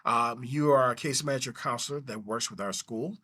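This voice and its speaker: A man's voice: medium pitch, brisk, sharp tone. A man hosting a school interview, effusive and full of praise and affirmations. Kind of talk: interview host.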